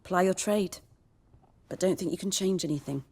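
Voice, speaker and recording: A woman playing a cynical, world-weary village herbalist in a fantasy game, measured and dry in her delivery. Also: lowish voice, darkish tone, slightly rough. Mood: wry, bitter.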